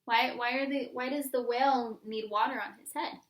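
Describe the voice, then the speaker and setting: A woman with a high voice reads a children's story aloud in a roomy, echoing space. Her delivery is expressive and sing-song, and she stops to ask her young listeners simple questions. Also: normal speed.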